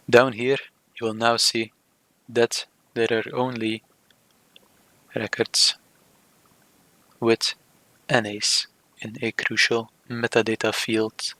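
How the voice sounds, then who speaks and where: A man narrating a software tutorial step by step, speaking in short, measured, halting phrases. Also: mid-range voice, no echo, low-quality recording. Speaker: software demonstrator. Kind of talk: scripted tutorial narration of a screen demo.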